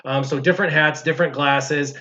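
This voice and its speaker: Guy hosting a casual stream, voice a bit on the high side, explains stuff step by step, dull audio. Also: fairly even intonation, brisk, pushed and bright. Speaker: show host reading out viewer questions. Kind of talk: casual chat.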